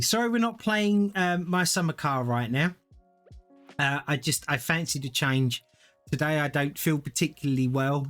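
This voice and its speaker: A man's voice: medium pitch, a bit bright. A man, chatting casually and thinking aloud as he streams a game to viewers. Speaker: gaming streamer. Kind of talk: casual live-stream gameplay commentary.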